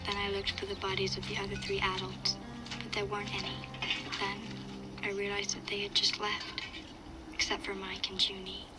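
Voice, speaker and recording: A woman's voice: medium pitch, monotone, moderate pace, over loud music, lightly acted, rough recording. A woman playing a young girl survivor, narrating a dramatic first-person audio log in a sombre, watchful way. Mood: sombre, tense. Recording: loud ambient sound behind voice.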